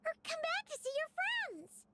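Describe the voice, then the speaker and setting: Squeaky, very high childlike fairy. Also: female voice, big pitch swings, normal speed, pushed and bright, exaggerated voice. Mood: relieved, admiring. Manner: emotive, eager. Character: tiny excitable childlike female fairy companion. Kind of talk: video game voice acting.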